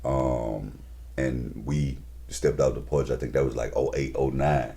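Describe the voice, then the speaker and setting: A man's voice: extremely low pitch, fairly even intonation, unhurried, creaky, mellow. A man in his thirties, a rapper and label owner, talking casually and laid-back in an interview, with slang and lots of fillers. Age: 30s.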